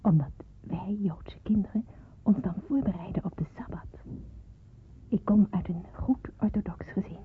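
A woman's voice: mid-range voice, moderate pace, a little hiss or hum, close to an everyday voice. Woman as earnest schoolgirl narrator, thin phone-like sound. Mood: earnest, content. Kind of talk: scripted first-person narration in a radio drama. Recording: thin phone-like radio drama recording.

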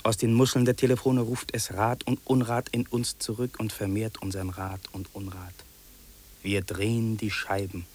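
An actor playing a lonely, fearful city man in a 1950s German radio drama, speaking in solemn, deliberate, incantatory phrases. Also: lowish voice, little pitch movement, darkish tone, natural voice. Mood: solemn, insistent. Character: lonely, fearful, brooding city man listing what he hears. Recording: old radio play on cassette tape.

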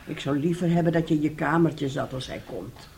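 An actress in a radio drama playing a bitter, middle-aged wife who nags with reproach and theatrical sarcasm. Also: female voice, low voice, brisk, creaky, darkish tone. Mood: weary, tense. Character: bitter, nagging, mocking wife of a failed composer.

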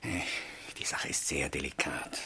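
An actor playing a pompous, easily panicked English castle lord in a radio crime comedy, blustering and stammering with agitated repetitions. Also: male voice, very deep voice, little pitch movement, moderate pace, sharp tone, in a whisper, heavily acted. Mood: furtive, scheming.

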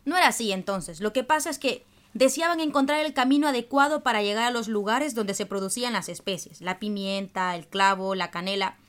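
A woman's voice: medium pitch, brisk, fairly bright tone. A woman hosting a radio programme, explaining things in a didactic, scripted way. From El Salvador. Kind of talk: scripted radio presentation in dialogue.

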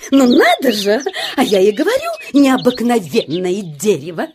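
An actress playing a noisy, gossipy, excitable jay in a children's audio play, exclaiming shrilly. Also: female voice, on the high side, moderate pace. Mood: amazed.

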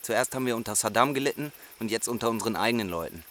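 An actor playing a bitter, weary Kurdish refugee speaking bluntly in a radio drama. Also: male voice, lowish voice, expressive, very fast, a bit bright, a little hiss or hum.

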